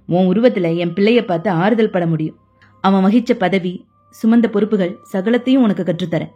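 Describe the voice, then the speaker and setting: A woman voicing a kind, grave elderly father-in-law, in a lowered soft voice, in an audio story. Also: moderate pace, breathy edge, dull audio, soft background music, close to an everyday voice. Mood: consoling.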